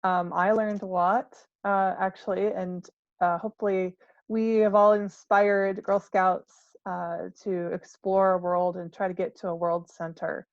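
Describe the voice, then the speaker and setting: A woman's voice: medium pitch, flat delivery, a little slow, no echo, dull audio. A woman hosting an informal online session, speaking casually and with some hesitation. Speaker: host of an online panel.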